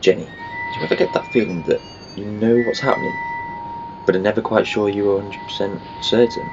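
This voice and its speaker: An actor playing a protective, earnest time-travelling adventurer in an amateur audio drama, with a dramatic manner. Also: male voice, on the low side, brisk, dull audio, music in the background, close to an everyday voice. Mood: wary.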